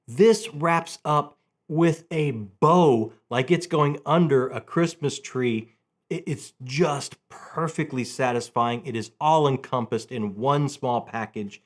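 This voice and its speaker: A man with a slightly high voice hosts a casual book chat. He speaks with enthusiasm and animation, often restarting and repeating words as he works toward his point. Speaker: book vlog host.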